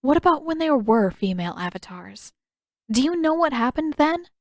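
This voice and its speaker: A woman's voice: sits high, sing-song, moderate pace, no echo, clean studio sound, voice pushed up. Woman as an earnest, stubborn teenage girl. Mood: hesitant, probing.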